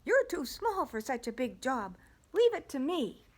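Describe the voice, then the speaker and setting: A proud, self-assured storybook horse, as a woman reading aloud puts him on, with a neighing lilt that climbs high. He speaks with confident, lively swings in pitch. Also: unhurried.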